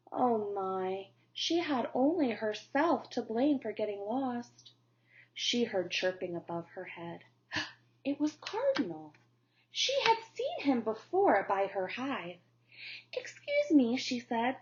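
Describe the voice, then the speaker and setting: A woman teacher reading a children's picture book aloud in a slow, gentle storytelling manner. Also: fairly high pitch. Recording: dull lo-fi recording.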